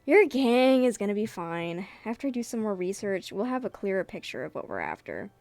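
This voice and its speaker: A woman voicing a polite, reserved young guy from a comic in a low-key, casual reading. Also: sing-song, brisk, a little mellow, no echo. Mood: earnest, low-key. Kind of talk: amateur dramatic comic reading.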